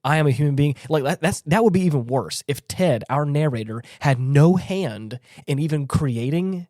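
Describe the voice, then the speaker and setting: A man's voice: a little high, lively intonation, moderate pace, a little mellow, dry recording. Emphatic man in a dry close recording. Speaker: podcast co-host. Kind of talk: casual opinionated discussion.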